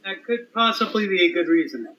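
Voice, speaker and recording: A man's voice: sits high, a little fast, a bit bright, slight background noise, poor audio. A man, a guest's father, explaining plainly in casual chat.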